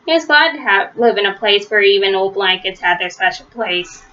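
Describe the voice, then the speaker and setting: A woman reading a children's storybook aloud as narrator, in an expressive style. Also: mid-range voice, moderate pace. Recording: slightly dull, lo-fi home recording.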